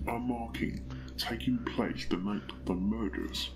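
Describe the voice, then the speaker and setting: Man voicing a slow, brooding, low-voiced detective. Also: over music, natural voice, rough recording. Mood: brooding, grim. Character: brooding, hot-tempered male pony police detective. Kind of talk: dramatic fan fiction reading.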